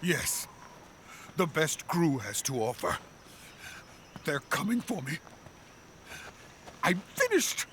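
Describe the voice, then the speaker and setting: An actor playing a middle-aged Soviet weapons scientist in a video game cutscene, fearful, grave and urgent. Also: male voice, a little high, big pitch swings, slow, pauses often, fairly bright tone, slight background noise, exaggerated voice. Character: Sokolov, anxious, desperate Soviet male weapons scientist. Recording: music behind the voice.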